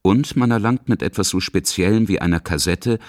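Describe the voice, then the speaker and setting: A man's voice: on the low side, brisk, a little mellow, no echo, clean studio sound. A man narrating a scripted radio documentary as its reporter.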